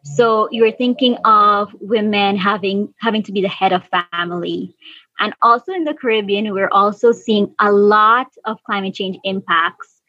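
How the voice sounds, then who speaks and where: A woman's voice: medium pitch, normal speed, bright, forceful tone, no room sound. Woman from Guyana, muffled remote line. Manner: earnest, thinks aloud with restarts. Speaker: guest, environmental officer. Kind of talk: casual interview answers.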